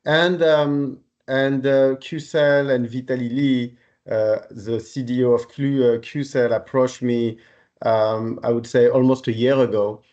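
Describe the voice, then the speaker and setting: A man in his forties from Paris with a French accent, speaking politely and haltingly as an interview guest. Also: mid-range voice, steady tone, a little mellow, lo-fi sound. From Paris, France. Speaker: podcast guest, renewable energy executive. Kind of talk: conversational career account in interview.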